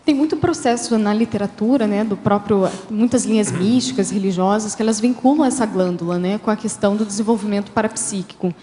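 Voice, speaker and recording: A woman's voice: medium pitch, fairly quick, hardly any pauses, mellow, a little hiss or hum. A woman, a guest author, explaining her answers in an interview, hesitant and with many fillers. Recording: hall reverb.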